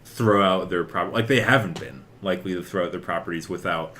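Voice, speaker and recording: Male podcast co-host, low register, loose conversational delivery that wanders as he thinks. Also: brisk, sharp tone, slight background noise. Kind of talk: casual chat about games and films.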